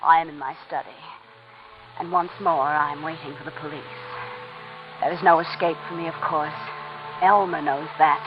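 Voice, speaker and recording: A woman's voice: low voice, steady tone, normal speed, close to an everyday voice. An actress playing a bitter, desperate woman, composed but anguished beneath, in 1940s radio drama style. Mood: grave, resigned. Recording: muffled vintage radio recording with music.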